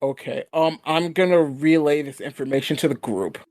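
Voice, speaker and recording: A man's voice: fairly high pitch, normal speed, darkish tone, no echo. A man talking casually as a player at a tabletop role-playing game.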